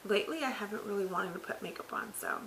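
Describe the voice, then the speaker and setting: A woman in her thirties talks casually from her couch with a gravelly, raspy voice that cracks now and then. Her tone is bright and a little tense, and a faint hiss sits under the webcam sound. She rambles and corrects herself, sounding worn out. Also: medium pitch, lively intonation, fast talker, low-quality recording. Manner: rambling, filler-heavy, self-correcting. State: recovering from throat surgery, tired. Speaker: vlogger. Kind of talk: casual health update vlog. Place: living room, seated on couch by window.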